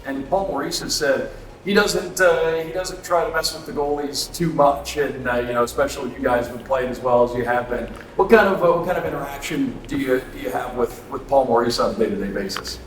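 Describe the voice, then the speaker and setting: A man's voice: mid-range voice, fairly quick. A man working as a sports interviewer, asking questions in a conversational sit-down interview. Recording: echoey room with faint ambient noise.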